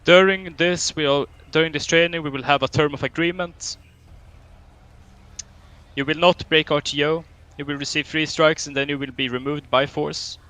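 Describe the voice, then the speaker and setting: A man's voice: fairly high pitch, steady tone, normal speed, bright, forceful tone. A young man with a Swedish accent running a roleplay police training, stern and drill-like with dry humor. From Sweden. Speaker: training officer.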